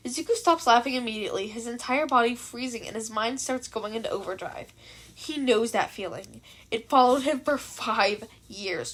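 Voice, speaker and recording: A woman with a high voice narrates fan fiction. Her reading is expressive, in the manner of an audio story told by a hobby reader.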